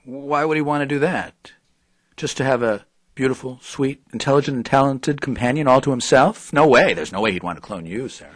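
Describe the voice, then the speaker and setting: A man's voice: mid-range voice, fairly quick, no echo, close to an everyday voice. An actor playing a skeptical, probing, dryly sarcastic male reporter in an audio drama. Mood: sardonic.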